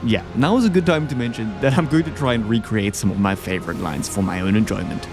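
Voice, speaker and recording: A man's voice: on the low side, fast. A man hosting a video, giving casual, opinionated commentary in an informal, slangy way. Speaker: video host ranking game bosses. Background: background music.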